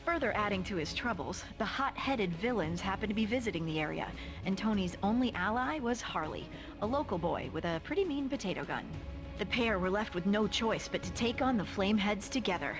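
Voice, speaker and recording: A woman's voice: medium pitch, lively intonation, fairly quick, lightly acted. A woman playing a superhero's sardonic girlfriend, narrating the adventure in a scripted style with wry, playful asides. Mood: wry, breezy. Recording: music behind the voice.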